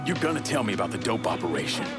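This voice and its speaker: A man's voice: fairly low pitch, big pitch swings, racing, over loud music. An actor playing a hardened, vengeful male crime boss in a video game, terse, blunt and streetwise. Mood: commanding.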